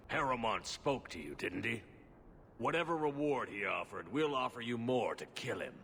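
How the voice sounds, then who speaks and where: A menacing hired thug, with a creaky, gravelly voice and a sharp forceful edge. His pitch moves about in a lively way in video game dialogue. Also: normal speed. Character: menacing thug trying to bribe a mercenary.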